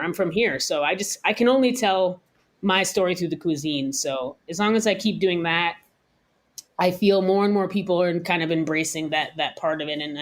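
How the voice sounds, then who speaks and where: An American woman chef, around her thirties, talking candidly and thoughtfully in a relaxed interview, laughing now and then. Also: lowish voice. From United States. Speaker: chef, guest. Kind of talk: casual interview talk.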